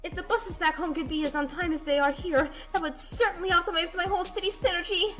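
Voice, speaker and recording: A woman's voice: extremely high pitch, fairly even intonation, quick pace, fairly bright tone. A woman voicing a brash, pushy Canadian goose office worker who talks in business jargon in a cartoon comedy dub, with music behind. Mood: chatty, forthright. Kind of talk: animated comedy comic dub.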